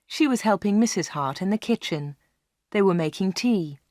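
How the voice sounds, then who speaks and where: Brief: woman narrator, slow and clear for learners, dry close studio mic. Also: medium pitch, animated, a little mellow, dry recording. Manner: clear and measured. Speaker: audiobook narrator. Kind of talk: slow read-along storytelling for learners.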